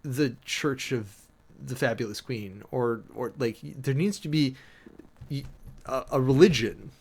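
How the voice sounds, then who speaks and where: A man with a mid-pitched voice hosts a livestream, moving between formal reading and casual commentary. He talks informally, with halting asides and dramatic emphasis on key phrases. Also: a little mellow, slightly rough. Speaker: livestream host. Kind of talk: reading a document aloud with off-the-cuff commentary.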